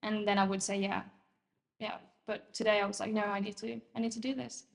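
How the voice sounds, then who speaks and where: A woman's voice: medium pitch, little pitch movement, a little fast, creaky. A young woman from Norway, Norwegian by first language, speaking fluent English as an interview guest, earnest and emphatic with many "like"s. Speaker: guest sharing her experience. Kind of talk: casual interview chat.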